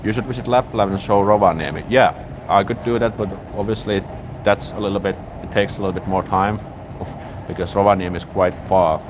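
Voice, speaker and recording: Finnish-accented man, deep voice, laid-back stream host, clear street noise, phone on a gimbal. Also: a little fast. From Finland. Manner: relaxed, rambling, frequent restarts. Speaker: YouTube live streamer. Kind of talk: casual live-stream chat, reading viewer comments. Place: outdoors in a city, near a train station. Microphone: smartphone on a gimbal. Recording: outdoor street noise behind.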